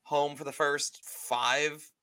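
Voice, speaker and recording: A man's voice: a little high, flat delivery, takes it slowly, sharp tone. A man of about thirty co-hosting a podcast in casual chat, swearing freely and acting out his thoughts as he tells stories. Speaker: podcast co-host.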